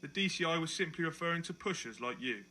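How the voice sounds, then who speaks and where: Sneering, sharp-voiced man acting a bullying detective. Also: on the high side, animated, quick pace. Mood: mocking, menacing. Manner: taunting, sarcastic, sycophantic to his boss. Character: sneering, mocking male detective constable bullying a prisoner. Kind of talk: dystopian audio drama acting.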